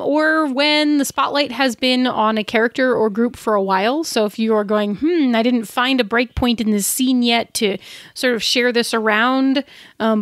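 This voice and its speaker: A woman's voice: fairly high pitch, fairly bright tone, dry recording, clean studio sound. A woman co-hosting a podcast, giving advice in a casual, chatty, conversational way. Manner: informal, chatty. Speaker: podcast co-host.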